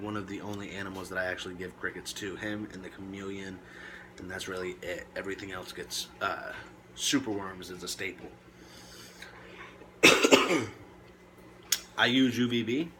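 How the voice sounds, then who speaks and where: A man presenting reptile care tips in a casual, laid-back way with short emphatic phrases, now and then coughing or clearing his throat. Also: low-pitched, steady tone, measured pace, pauses often, background noise. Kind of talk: casual informal talk to camera.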